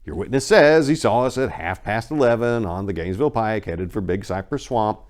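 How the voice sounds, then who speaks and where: A man's voice: lowish voice, lively intonation, fairly quick, darkish tone, close to an everyday voice. A man playing a haunted, shaken witness giving formal, theatrical, emphatic testimony in a dramatic horror reading. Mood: grave.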